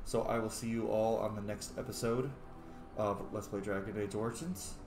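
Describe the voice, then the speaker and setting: A man's voice: fairly low pitch, fairly even intonation, normal speed. A man hosting a roleplay let's play, chatting casually and improvising replies to game characters. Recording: roomy echo, faint background noise.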